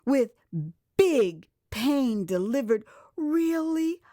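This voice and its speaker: An American woman narrating a first-person memoir as audio drama, with an expressive, theatrical delivery. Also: high-pitched, very slow, no echo, clean studio sound. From United States. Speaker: author and narrator.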